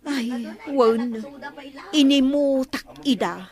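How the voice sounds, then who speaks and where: High-voiced fretful Ilocano village woman. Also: extremely slow, darkish tone, full character voice. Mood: regretful. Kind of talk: Ilocano radio comedy drama acting.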